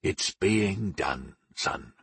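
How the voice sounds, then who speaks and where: A man voicing a portly, proud older baker father in an audiobook, gruff and resolute, explaining things without hurry. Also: sits low, expressive, a bit bright, no echo, full character voice. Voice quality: gruff, grumbling. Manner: unhurried, explaining, homespun wisdom. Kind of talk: audiobook character dialogue.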